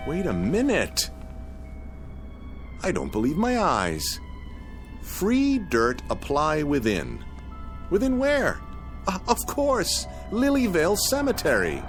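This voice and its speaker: An actor playing a mild, penny-pinching husband in a radio drama, genteel, fussy and wordy, often musing to himself. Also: male voice, mid-range voice. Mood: excited. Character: mild, penny-pinching husband, eager about free bargains.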